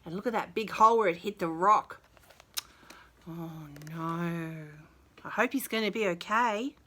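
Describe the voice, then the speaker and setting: A woman reading a picture book aloud to young children in an expressive, dramatic way, with casual chatty asides and some hushed delivery. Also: lowish voice, unhurried. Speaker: children's story reader.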